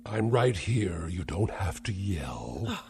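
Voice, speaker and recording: An actor playing a cruel, sarcastic elderly uncle who is a scientist, taunting with mocking, theatrical insults. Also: male voice, sits low, big pitch swings, takes it slowly, creaky, over music, full character voice. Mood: sneering. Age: older. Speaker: domineering uncle. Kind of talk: 1960s TV drama acting.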